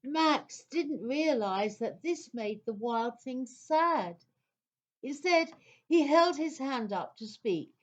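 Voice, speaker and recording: A woman's voice: sits high, expressive, slow pace, lo-fi sound. A woman narrating a scripted children's story as part of an audio play.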